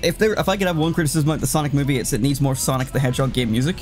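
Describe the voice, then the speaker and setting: A man commentates a video game over loud music in a casual, sweary way. He rambles through his thoughts at a middling pitch and keeps interrupting himself to react to his play. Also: racing.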